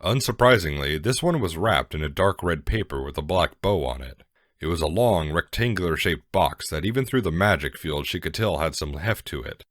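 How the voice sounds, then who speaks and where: Very deep-voiced male story narrator. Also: expressive, moderate pace, fairly bright tone, no room sound, studio quality.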